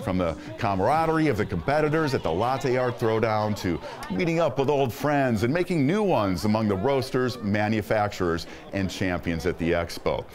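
Lively male presenter narrating. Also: on the low side, normal speed, few pauses, faint music behind. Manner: enthusiastic, upbeat presenter delivery. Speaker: host. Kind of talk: scripted voice-over narration.

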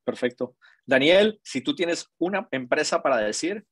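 A man's voice: a little high, lively intonation, moderate pace, a bit bright, breathy edge, no echo, clean studio sound. A man in his thirties casually hosting an online panel, speaking into a headset. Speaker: panel moderator. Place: indoors on a video call. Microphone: headset on a video call.

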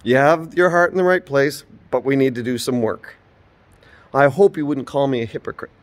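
A man in his forties giving an earnest, informal sermon, filmed on a phone held at arm's length inside an empty old church. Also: moderate pace. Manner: earnest, preaching, rises and falls in pitch. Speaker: preacher.

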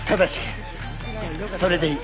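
A man's voice: sits high, steady tone, brisk, natural voice. A man acting a supportive young sports mentor in a stage play, earnest and emphatic. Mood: proud, earnest. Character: supportive young adult male mentor, proud of his pupil. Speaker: stage actor. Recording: stage recording with music and crowd.

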